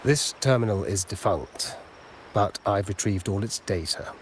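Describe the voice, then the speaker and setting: A man's voice: lowish voice, unhurried, slight background noise, close to an everyday voice. An actor playing a self-assured male technical expert and retainer in a video game, speaking precisely and with self-satisfaction. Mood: smug, businesslike. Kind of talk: scripted video game dialogue.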